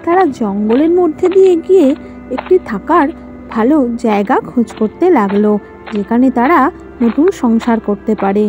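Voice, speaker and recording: A woman reading scripted storybook narration for an animated moral tale. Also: a little high, moderate pace, soft background music.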